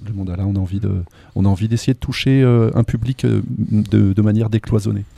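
A man from a local arts association answering interview questions, halting, with many fillers and restarts. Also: on the low side, steady tone, gravelly, dark tone, no echo. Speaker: association member. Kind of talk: hesitant radio interview answer.

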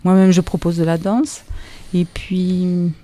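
Slow-talking woman with a mellow voice. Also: lowish voice, little pitch movement. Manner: slow, hesitant, with fillers. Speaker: dance teacher in an association. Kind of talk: interview answer.

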